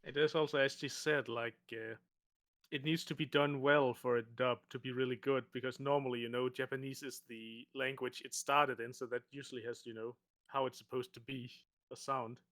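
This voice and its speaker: A mid-pitched man talks hesitantly in a casual chat, with slightly non-native phrasing and some pauses. The sound is dry and close, with no room around it. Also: fairly even intonation, fairly bright tone, dry recording. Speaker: podcast co-host.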